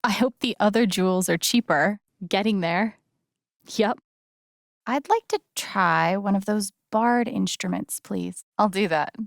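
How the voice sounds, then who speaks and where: Young video game heroine, casual n sincere, gentle, bit wry, mid pitch, super dry sound. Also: female voice, unhurried, gravelly, no room sound. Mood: casual, thoughtful. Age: young adult. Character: young woman, game heroine, earnest, gentle, wryly playful. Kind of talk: naturalistic video game voice acting.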